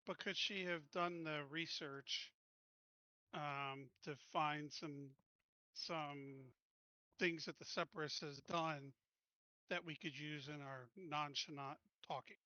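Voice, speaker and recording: Player, male, higher bright voice, eager and questioning, thin voice-chat sound. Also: fairly even intonation, slow, no echo, highs cut off. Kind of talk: casual chat in a tabletop game.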